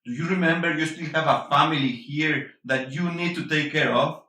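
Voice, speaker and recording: A man's voice: fairly high pitch, moderate pace, pushed and bright. Bitter middle-aged father male actor, stage drama. Confrontational, bilingual, hard-edged delivery, recorded roomy and distant. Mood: angry, bitter. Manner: confrontational, emphatic, swearing, switches between English and Spanish. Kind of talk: intense stage-play reading. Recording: echoey room, distant mic.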